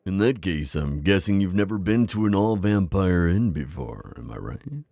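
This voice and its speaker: A man playing a friendly, wise old vampire innkeeper in an ASMR roleplay, with a deep gravelly voice and a chatty, reassuring manner. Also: big pitch swings, moderate pace, mellow, no room sound, studio quality, heavily acted. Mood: chatty, hospitable. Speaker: roleplay audio performer. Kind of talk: immersive ASMR roleplay acting.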